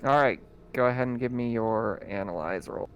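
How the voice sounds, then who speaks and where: A man's voice: medium pitch, normal speed, dull audio, slight background noise. A man narrating as game master for a tabletop roleplaying game, describing scenes with many fillers and reading out rules.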